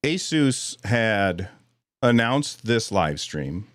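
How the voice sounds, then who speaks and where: A man hosting a live podcast in casual chat, a little hoarse, rambling and self-deprecating with frequent restarts. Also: on the low side, very animated, drawn-out words, no room sound, studio quality. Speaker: podcast host.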